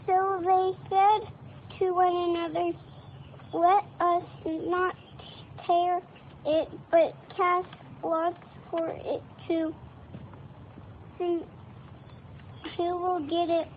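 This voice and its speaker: Child reader, very high voice, extremely slow and halting, muffled noisy recording. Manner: halting, stumbling over words. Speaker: lay scripture reader. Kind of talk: scripture reading. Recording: muffled narrow-band home recording, noisy.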